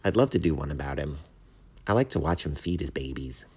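A small poet bat from a children's storybook, voiced by a man, gentle and earnest. The delivery is thoughtful and modest, with a husky edge and a very lively rise and fall. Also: a bass voice, brisk, heavily acted. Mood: grateful. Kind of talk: children's storybook read aloud.

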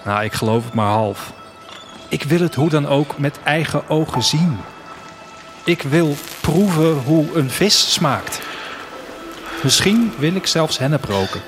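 A man's voice: fairly high pitch, lively intonation, measured pace, faint music behind. A man playing a restless dreamer who longs to travel, speaking in a reflective, intimate audio drama monologue. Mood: determined, yearning. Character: restless young dreamer of 2104 longing to travel.

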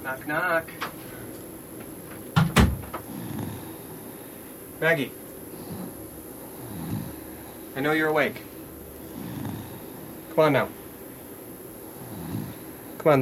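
A man's voice: medium pitch, moderate pace, long pauses, fairly bright tone, slight background noise, natural voice, rough recording. An actor playing an insistent, coaxing man who follows and handles someone, in a naturalistic audio drama. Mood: gentle, apologetic. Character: pushy, coaxing male pursuer who turns apologetic caretaker. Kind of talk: naturalistic audio fiction acting.

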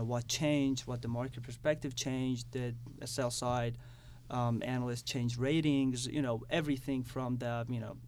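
Even-toned young man from Iran. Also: medium pitch. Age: young adult. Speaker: student guest. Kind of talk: casual interview answers. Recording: clearly audible background noise.

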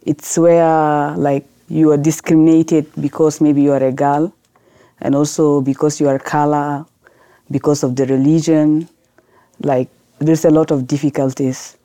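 Podcast guest, woman from South Sudan, low dark voice, dry close sound, earnest and halting. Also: flat delivery, dry recording. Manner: earnest, halting non-native English with restarts. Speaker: guest, lawyer. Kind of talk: podcast interview answers.

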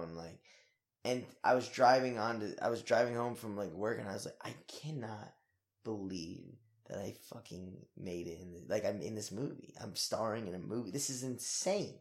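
A young man, an actor, talking in a casual interview in an energetic, rambling way with lots of fillers and swearing. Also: on the low side.

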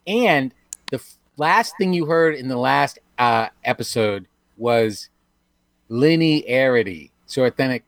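A man co-hosting a comedy podcast, rambling and restarting his sentences. His pitch swings around a lot as he talks, and the recording is close and dry. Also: animated, slow, no echo. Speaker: podcast co-host and episode writer. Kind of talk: casual comedy podcast chat.

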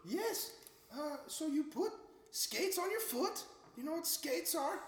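Woman doing a high, swooping Minnesotan. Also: expressive, slow, noisy background, exaggerated voice. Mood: hesitant. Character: polite, easily affronted Minnesotan explaining local life. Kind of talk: improvised comedy sketch acting. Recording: echoey hall with long reverb.

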